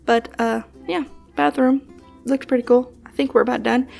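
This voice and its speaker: A young woman talking casually over gameplay as a channel host, rambling with many fillers and soft laughs, a bit sniffly from allergies. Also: sits high, fairly even intonation, normal speed, creaky, soft background music. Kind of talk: casual voice-over commentary on a building game.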